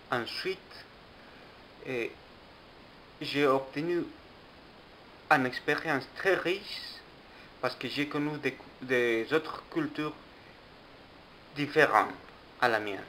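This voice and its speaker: Young man, student interview, slow, deliberate, bright tone, faint hiss. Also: medium pitch, low-quality recording. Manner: slow and deliberate, with frequent pauses. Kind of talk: documentary interview.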